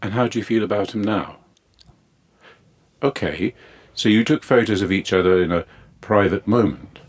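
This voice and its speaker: A low-voiced, husky therapist played by a man in an audio drama. He is measured and probing, steering with leading questions. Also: close to an everyday voice.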